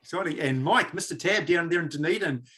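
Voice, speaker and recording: Middle-aged Kiwi male podcast host, rambling and chatty, slightly high voice. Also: fast talker, sharp tone. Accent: New Zealand. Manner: chatty, rambling, self-deprecating. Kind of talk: casual podcast chat.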